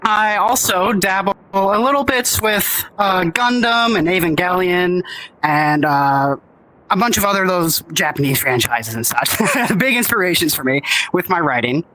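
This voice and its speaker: A man introducing himself on a convention panel, excitable and joking with quick asides. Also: sits high, poor audio. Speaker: writer and producer, panelist.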